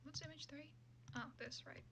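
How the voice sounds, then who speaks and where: A woman livestreamer chatting casually and unscripted, hesitant and halting, often muttering to herself. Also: high voice, fairly even intonation, background noise.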